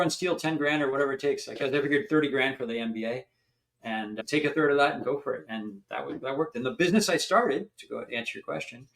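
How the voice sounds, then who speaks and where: An older man from Canada, a founder, is a guest on a podcast. His voice sits in the middle register, and he tells his stories in a chatty, animated way. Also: medium pitch. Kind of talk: storytelling in an interview.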